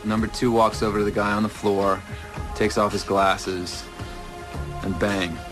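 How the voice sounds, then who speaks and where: A man plays a matter-of-fact police investigator in a crime radio play. His voice is fairly low and factual, with music clearly behind it. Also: flat delivery, measured pace, lightly acted. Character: matter-of-fact male police investigator narrating security video. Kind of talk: police procedural audio drama acting. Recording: prominent music underneath.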